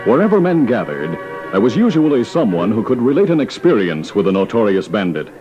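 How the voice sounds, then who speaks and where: A man's voice: on the low side, very animated, a little fast, highs cut off, over music. A man with an American accent giving a dramatic, old-time radio announcer's scripted introduction.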